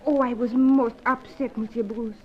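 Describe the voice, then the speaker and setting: Woman playing a high-voiced, coy cabaret dancer. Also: fairly even intonation, natural voice. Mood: confiding. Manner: coy, teasing, halting broken English. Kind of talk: old-time radio serial acting. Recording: dull, lo-fi old radio recording.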